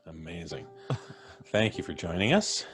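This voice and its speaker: A man hosts a live stream, chatty and full of jokes as he brings on each guest. His voice sits on the low side, and faint music plays in the background. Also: wildly swooping pitch, normal speed, fairly bright tone. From Canada. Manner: jokey, chatty, introducing guests with laughs. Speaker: host. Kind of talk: casual livestream chat.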